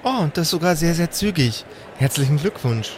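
A man acts out a courteous female receptionist in an improvised game. The voice is higher-set for a man, formal, businesslike and slightly hesitant, with faint noise. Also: lively intonation, moderate pace, natural voice. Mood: polite, helpful.

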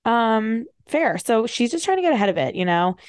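A woman hosting a solo podcast, talking fast and casually with wry, animated asides. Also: on the high side, smooth, dry recording, clean studio sound. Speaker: podcast host. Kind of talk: casual solo commentary, reading bios with asides.

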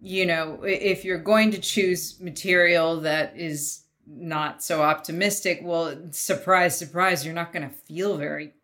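A woman from Vancouver, Canada, talking as a podcast panelist in a casual, thoughtful way with halting, reflective phrasing. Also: lowish voice, steady tone, a bit bright.

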